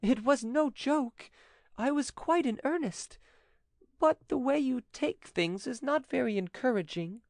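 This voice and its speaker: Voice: mid-range voice, expressive, moderate pace, no echo, clean studio sound, pitched above the performer's own voice, lightly acted. A narrator voicing a timid yet insistent, doting French widow in an audiobook story. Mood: timid, hurt.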